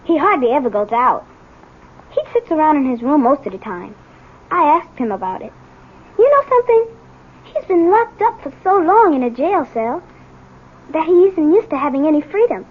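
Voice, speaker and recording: Woman playing an earnest high-voiced schoolboy, tinny radio. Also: big pitch swings, normal speed, pauses often. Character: earnest, reassuring schoolboy son. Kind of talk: 1970s radio drama acting. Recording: tinny, narrow-band old radio broadcast.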